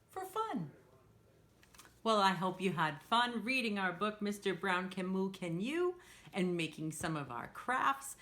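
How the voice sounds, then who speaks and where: A woman's voice: medium pitch, fairly bright tone. A woman hosting a children's storytime, talking simply and encouragingly to young children as she demonstrates a craft. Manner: refers to herself in third person.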